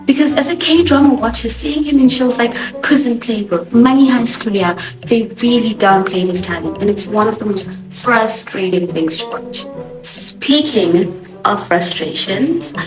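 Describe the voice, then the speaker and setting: A young woman, a native Swahili speaker with a Johannesburg accent, giving a sassy, expressive TV show review as host. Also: on the high side, measured pace. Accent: South African (Johannesburg). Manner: expressive, sassy, animated emphasis. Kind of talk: casual opinionated review. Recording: echoey room with music behind.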